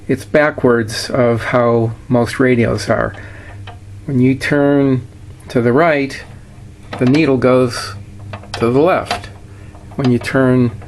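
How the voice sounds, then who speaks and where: A man with a mid-range voice talks at a slow pace with very little rise and fall. He explains things in a plainspoken, matter-of-fact way, as in an informal hands-on product demonstration. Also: medium pitch, darkish tone, slight background noise. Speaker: seller demonstrating a vintage radio. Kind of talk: casual product walkthrough.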